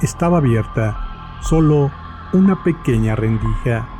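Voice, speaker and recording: A man's voice: medium pitch, lively intonation, unhurried. A man narrating a scripted horror story as the storyteller. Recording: faint music behind the voice.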